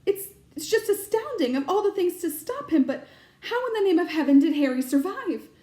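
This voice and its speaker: A woman's voice: very high-pitched, very animated, normal speed, mellow, voice pushed up. A woman voicing a stern, anxious older witch professor from a storybook, exasperated and emphatic, faltering when upset, in an echoey room. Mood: shocked, grieving.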